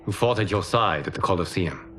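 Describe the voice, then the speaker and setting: A man playing a calm, godlike keeper of time in a video game, grave, measured and reassuring. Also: sits low, lightly acted. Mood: solemn. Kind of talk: cinematic video game acting.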